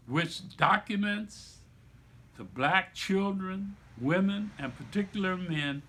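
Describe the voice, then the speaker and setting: Slow, bright-voiced man reading aloud. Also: on the high side, slightly rough. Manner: deliberate, emphatic phrasing with repetition for young listeners. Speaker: storyteller reading aloud to children. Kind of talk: read-aloud narration with teaching asides.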